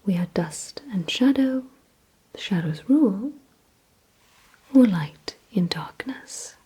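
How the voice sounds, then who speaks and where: A woman whispering breathily in an ASMR roleplay, giving instructions deliberately and repeating words with pauses, close to the microphone. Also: medium pitch, animated, warm tone. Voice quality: breathy whisper, mostly unvoiced. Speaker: ASMR creator leading a word-guessing game.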